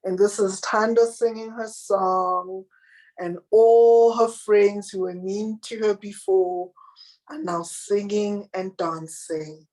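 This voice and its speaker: A woman with a deep, husky, raspy voice reading her own children's picture book aloud and chatting about it. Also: fairly even intonation, rough recording. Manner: gentle, unhurried reading aloud with picture commentary. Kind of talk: children's storybook reading, then casual chat.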